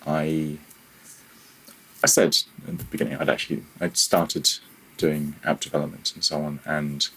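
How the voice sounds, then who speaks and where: A man's voice: very deep voice, level tone, normal speed, vocal fry, fairly bright tone, husky, low-quality recording. A man speaking casually and reflectively as a podcast guest, hesitant and self-deprecating in how he phrases things. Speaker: podcast guest, freelance audio developer.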